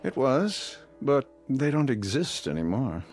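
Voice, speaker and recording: An actor playing a self-deprecating, quietly resigned male poet with a wry, understated delivery in an audio drama. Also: mid-range voice, sing-song, measured pace, faint music behind. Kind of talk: full-cast audio drama acting.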